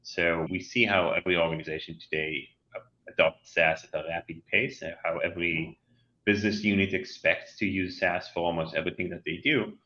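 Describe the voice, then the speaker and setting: Israeli-accented male guest, deep, forceful and explanatory. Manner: explanatory, with frequent restarts. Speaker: guest, company CEO. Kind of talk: interview answers. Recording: dull lo-fi sound.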